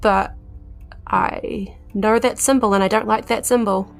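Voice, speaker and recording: A woman's voice: medium pitch, fairly even intonation, unhurried, vocal fry, faint music behind. A woman speaking casually as a player in a tabletop roleplaying game.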